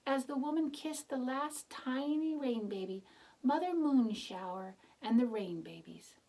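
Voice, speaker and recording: A woman reading a storybook aloud to young children, expressive, with simple explanatory asides and gentle questions. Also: high voice, measured pace.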